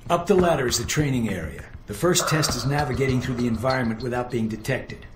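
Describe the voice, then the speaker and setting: An actor playing a stern, sceptical older intelligence instructor in a video game, with a brusque, dry, formal manner. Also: male voice, medium pitch, fast talker, background noise, close to an everyday voice. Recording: echoey room with music behind.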